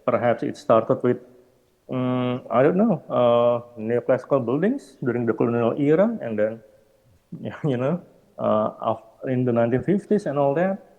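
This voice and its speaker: A man's voice: medium pitch, animated, normal speed, vocal fry, phone-quality sound. A man giving an academic slide presentation at a roundtable, thinking aloud and hedging as he goes. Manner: hesitant, thinking aloud, hedging with "perhaps" and "I don't know". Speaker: panel speaker, architecture researcher. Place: gallery hall at a roundtable. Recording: long hall reverb.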